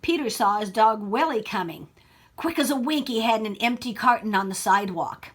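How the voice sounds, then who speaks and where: A woman reading a story aloud to children like a teacher, expressive, with explanatory asides and questions for young listeners. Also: on the high side, slightly rough. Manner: expressive, child-directed, pausing to ask questions.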